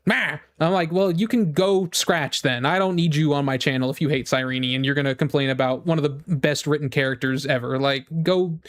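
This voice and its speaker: A man streaming casually to his viewers, rambling and repeating himself as he gives emphatic opinions in fan-rant style. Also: on the high side. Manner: rambling, with frequent "like" and repeated phrases. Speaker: game streamer.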